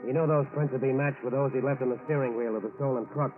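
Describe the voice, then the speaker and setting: A man delivers formal scripted narration in 1940s radio style. His voice sits at a medium pitch. The recording is thin and boxy, with prominent music underneath. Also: fairly even intonation, fast talker, phone-quality sound. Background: loud dramatic music.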